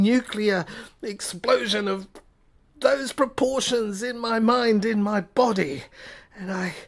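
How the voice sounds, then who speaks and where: A woman narrating a dramatic first-person story in a halting, overwrought manner, often repeating words. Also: mid-range voice. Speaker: narrator reading an audio screenplay.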